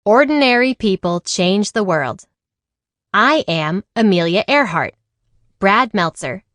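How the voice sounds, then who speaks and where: A woman reading a children's picture book aloud in an expressive way, acting out the dialogue. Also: medium pitch, slow, no room sound. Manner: animated, acts out dialogue. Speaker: read-aloud storyteller.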